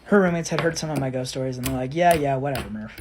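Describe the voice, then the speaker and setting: Low-voiced woman telling a blunt story. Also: fast talker, background noise. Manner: blunt, sweary, exasperated. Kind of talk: casual first-person storytime, acting out dialogue.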